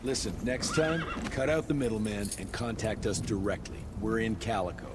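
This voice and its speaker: An actor playing a terse, sarcastic monster hunter. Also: male voice, on the low side, moderate pace, background noise, close to an everyday voice. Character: gruff sardonic male monster hunter.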